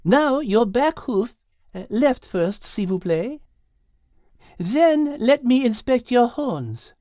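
A woman's voice: a little high, sing-song, takes it slowly, mellow, no echo, studio quality. A woman voicing a petite French cat, polite, patient and clever, in a children's audio drama with a French accent. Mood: polite, studious.

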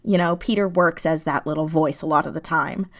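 A woman chatting casually as an interview guest, effusive and giggly, talking in halting bursts. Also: low voice, steady tone. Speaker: interview guest, audio drama creator.

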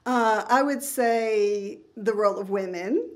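A woman's voice: mid-range voice, takes it slowly. A middle-aged woman from New England, a psychotherapist speaking as a guest in a casual, earnest interview and laughing easily. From New England, United States.

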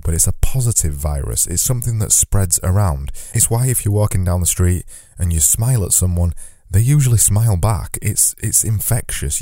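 A man hosting a solo podcast, talking earnestly and reflectively, lifting a voice he calls naturally monotone. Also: low voice, no echo, studio quality. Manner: earnest, reflective, says he lifts his normally monotone voice. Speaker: podcast host.

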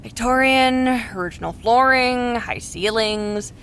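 A woman's voice: on the high side, a little slow, fairly bright tone, slight background noise. A woman playing an upbeat, supportive friend in a naturalistic audio drama. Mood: upbeat, casual. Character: upbeat, supportive female friend helping with a move.

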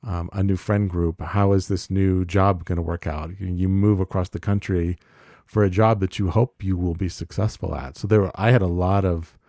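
A man co-hosting a podcast, speaking in a measured and thoughtful way with some halting restarts. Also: deep voice, steady tone, mellow, dry recording, clean studio sound. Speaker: podcast co-host. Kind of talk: reflective conversation.

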